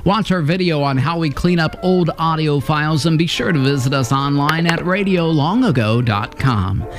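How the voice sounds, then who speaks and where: Higher-voiced male narrator over music. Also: moderate pace. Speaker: host introducing vintage radio episodes. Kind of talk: scripted narration.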